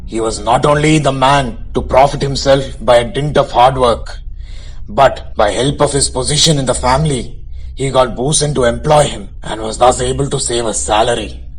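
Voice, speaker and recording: A man playing the storyteller of a radio drama, narrating in a measured, literary way. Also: medium pitch, husky, close to an everyday voice, rough recording. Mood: measured storytelling. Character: storyteller recounting a tragic marriage. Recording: background music under narration.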